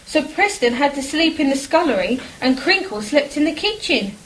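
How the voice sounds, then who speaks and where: An actress plays a genteel English married woman in a period radio drama. She is high-pitched, homely and polite, chatting away over a faint wash of noise. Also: lively intonation, moderate pace, poor audio. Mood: wry.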